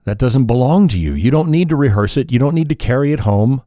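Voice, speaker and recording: A man hosting a solo podcast, talking in a calm, measured, reflective way, sometimes pausing or correcting himself mid-sentence. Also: mid-range voice, no room sound, studio quality. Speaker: podcast host.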